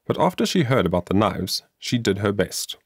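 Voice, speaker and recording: A man's voice: fairly low pitch, big pitch swings, normal speed, slightly rough, no room sound, clean studio sound. A man narrating a children's moral story as an audiobook, reading earnestly and expressively from a script.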